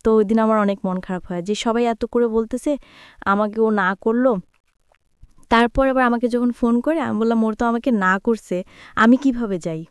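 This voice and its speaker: A woman's voice: on the high side, normal speed, clear, resonant tone, no room sound, clean studio sound. A young woman with a Bangladeshi accent telling her own life story conversationally, relaying remembered dialogue as she goes. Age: young adult.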